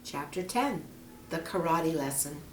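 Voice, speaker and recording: A woman's voice: low-pitched, lively intonation, unhurried, far from the mic, slight background noise. A woman reading a storybook aloud expressively, with asides addressed to young listeners. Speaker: children's story reader. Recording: roomy, echoing indoor sound.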